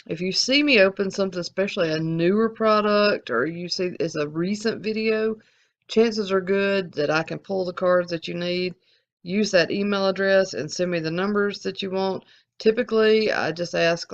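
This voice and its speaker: This is a hobbyist host with a Southern Alabama accent who chats straight to viewers. The voice has a gravelly, fry-tinged texture. The talk rambles candidly with plenty of restarts, and the recording sounds a bit dull and lo-fi. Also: medium pitch. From American South. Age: adult, collecting since the eighties. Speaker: sports card channel host. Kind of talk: casual Q&A to viewers.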